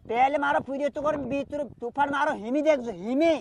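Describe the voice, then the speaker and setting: High-pitched, scolding woman with creaky voice. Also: normal speed, a little mellow, a little hiss or hum. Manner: scolding, abusive, repetitive. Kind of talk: heated argument on a phone call. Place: recorded phone call.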